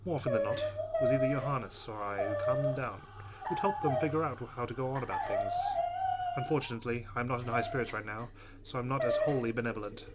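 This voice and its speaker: A man playing a game, reading its text aloud dramatically and reacting in a jumpy, expressive way. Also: medium pitch, fast, heavy background noise. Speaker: let's player. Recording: loud game sound behind voice. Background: game audio.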